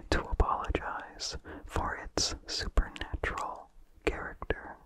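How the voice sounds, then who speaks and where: Slow, breathy ASMR whisperer. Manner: slow, calm, careful enunciation. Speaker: ASMR host and story reader. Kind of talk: whispered ASMR story reading.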